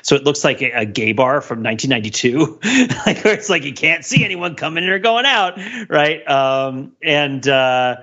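A man's voice: medium pitch, lively intonation, moderate pace, few pauses, sharp tone, muffled recording. A man co-hosting a casual podcast discussion, rambling and interrupting himself as he thinks aloud. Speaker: podcast host.

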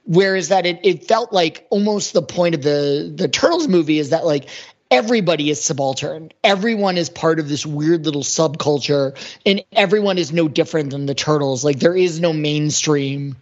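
A man's voice: fairly high pitch, moderate pace. A man on a discussion panel talking casually about film, thinking aloud and rephrasing as he goes. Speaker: podcast panelist. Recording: dull, lo-fi voice track.

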